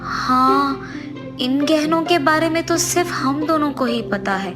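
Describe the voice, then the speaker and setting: A woman's voice: high voice, expressive, unhurried, music in the background. A woman voicing a grieving, easily frightened husband who is master of the house in a cartoon story. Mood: astonished.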